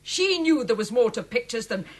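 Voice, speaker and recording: An earnest, anxious woman in a period ghost story. Her voice is husky and breathy, swinging widely in pitch, with an intense, pleading delivery. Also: very animated, moderate pace. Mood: insistent. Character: earnest, anxious woman gallery official, believer in evil. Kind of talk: period radio drama acting.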